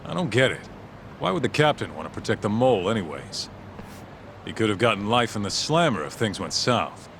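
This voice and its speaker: An actor playing a streetwise, grumbling detective's sidekick in a video game, skeptical and slangy. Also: male voice, fairly low pitch, big pitch swings, brisk, creaky, a bit bright, slight background noise, heavily acted. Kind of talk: English video game voice acting.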